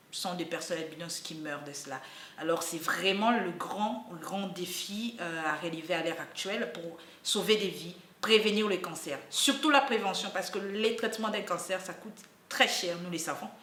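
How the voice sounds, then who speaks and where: A woman's voice: fairly low pitch, a bit bright, reverberant. A young woman in her twenties from the Congo, speaking, giving an earnest, hesitant personal testimony with many fillers and restarts. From Democratic Republic of the Congo. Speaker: university student and activist.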